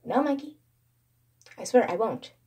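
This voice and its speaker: An eager little boy, acted out by a woman in a narrated horror story. The voice is raised high, with an earnest, pleading manner, like a younger brother begging and promising. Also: sing-song, unhurried, dark tone, breathy edge, exaggerated voice.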